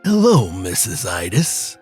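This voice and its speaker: An actor playing a wry, guarded crime boss in a detective radio drama. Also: male voice, deep voice, wildly swooping pitch, takes it slowly, faint music behind, heavily acted.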